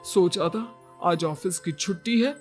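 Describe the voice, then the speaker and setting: A woman's voice: on the low side, measured pace, faint music behind, voice pushed down, lightly acted. A woman voicing a weary, put-upon young husband who pleads and laments in a cartoon moral story. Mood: self-pitying.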